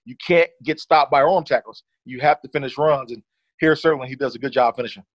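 A man's voice: medium pitch, fast, dry recording. A man in his thirties, a former running back, casually breaking down game film as a guest, often saying "certainly.". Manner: relaxed, leans on "certainly" and "uh". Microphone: video call. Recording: dull dry video-call audio.

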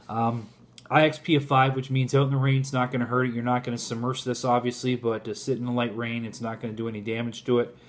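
A man's voice: medium pitch, flat delivery, quick pace. Casual man reviewing a product. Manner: informal, addresses viewers as guys. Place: indoors in a home kitchen.

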